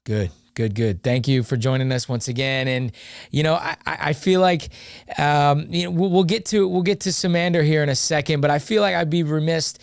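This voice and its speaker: A man's voice: on the high side, steady tone, fairly quick, creaky, dry recording, studio quality. A male interview host, enthusiastic and chatty, who often repeats and restarts his words. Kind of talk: casual interview.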